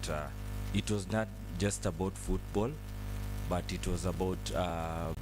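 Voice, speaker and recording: A man with a Kenyan accent giving a halting, impromptu thank-you speech as a family member at a memorial. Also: low voice, poor audio. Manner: halting, with many pauses and repeats.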